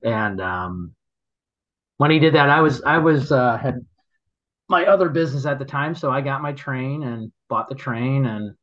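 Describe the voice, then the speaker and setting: A man telling stories in casual chat, halting and rambling, laughing often as he goes. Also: mid-range voice, expressive, a bit bright, muffled recording. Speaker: guest, local business owner.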